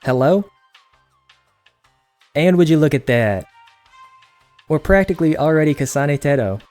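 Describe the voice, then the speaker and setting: A man's voice: fairly high pitch, lively intonation, a little slow, darkish tone. A man narrating a programming tutorial in a casual, scripted way with a playful, wry, self-deprecating manner. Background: background music.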